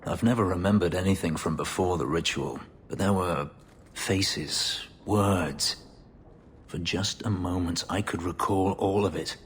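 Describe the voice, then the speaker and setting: Deep-voiced brooding elf. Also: male voice, slight background noise. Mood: anguished, regretful. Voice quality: deep, low voice. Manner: halting, solemn, measured delivery. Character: brooding, guarded male elf, an escaped former slave. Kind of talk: dramatic video game dialogue. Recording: reverberant hall sound with music underneath.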